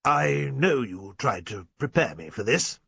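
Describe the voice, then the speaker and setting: Guy doing a shaken old english country squire in an audiobook, proud, halting, husky, swoopy pitch. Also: big pitch swings, fairly bright tone, no echo, heavily acted. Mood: halting, shamed. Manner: halting, gruff, old-fashioned.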